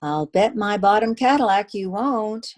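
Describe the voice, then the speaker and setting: Woman playing a mellow, wry girlfriend. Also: medium pitch, lively intonation, unhurried, lightly acted. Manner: dry, bantering. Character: wry, sassy girlfriend of a private detective. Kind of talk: old-time radio detective drama acting.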